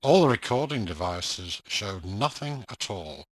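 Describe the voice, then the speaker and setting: A man narrating a ghost story from a script in a measured, suspenseful, theatrical manner. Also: lowish voice, big pitch swings, no room sound.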